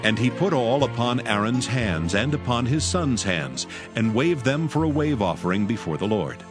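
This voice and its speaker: A man narrating scripture from a script in a solemn manner, with measured phrasing. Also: mid-range voice, very animated. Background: music.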